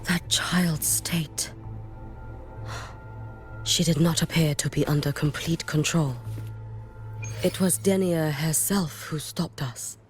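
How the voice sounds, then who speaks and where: A woman voicing a stoic, solemn female warrior in a video game, speaking formally and softly, with a breathy edge. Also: low voice, steady tone, soft background music. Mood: solemn, measured. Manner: formal, measured, grave. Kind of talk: scripted video game voice acting.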